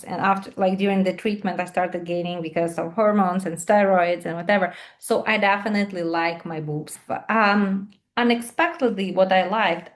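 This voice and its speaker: A woman in her thirties from Ukraine with a Ukrainian accent, answering interview questions on a video call in thoughtful, halting English with many pauses and fillers. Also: on the low side. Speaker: guest, English teacher and patient advocate.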